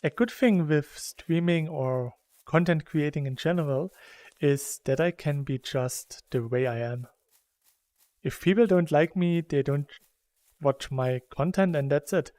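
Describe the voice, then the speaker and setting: A German man in his thirties with a German accent talks through a game in a relaxed, rambling way. His voice sits a bit high and his pace is unhurried. The sound is dry and close. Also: dry recording. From Saxony, Germany. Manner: rambling and chatty, laughs between thoughts. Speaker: gaming YouTuber. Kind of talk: casual chat while playing a game.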